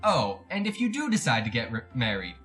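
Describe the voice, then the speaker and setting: A man's voice: medium pitch, wildly swooping pitch, brisk, a bit bright, soft background music. A man playing a pompous, evasive salesman husband in retro radio drama style, with formal diction that turns to stammering when flustered. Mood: smooth, chatty. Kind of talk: retro 1940s radio comedy-thriller acting.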